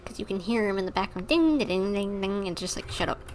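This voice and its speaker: A woman livestreamer giving casual, informal commentary on a game with drawn-out reactions. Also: medium pitch, animated, darkish tone, a little hiss or hum, low-quality recording.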